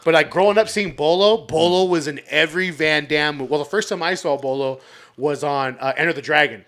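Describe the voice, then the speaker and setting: Excitable man with a bright, high voice. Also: a little fast. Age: 30s. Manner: excitable, swears freely, lots of filler words. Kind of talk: casual chat.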